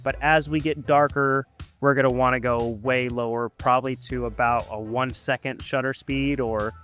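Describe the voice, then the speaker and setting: A man at a middle pitch walking a friend through camera settings outdoors at dusk. He talks casually and patiently, pausing often with ums and uhs, with faint music underneath. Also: steady tone, fairly bright tone. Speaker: photographer teaching a guest. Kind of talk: casual how-to explanation.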